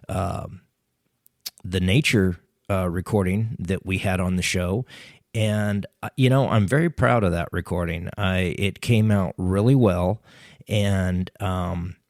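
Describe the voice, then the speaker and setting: Deep-voiced man hosting a podcast, dry sound. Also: no echo. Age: middle-aged. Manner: relaxed, rambling, many ums and restarts. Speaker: podcast host. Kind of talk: casual solo podcast chat. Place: small podcast studio.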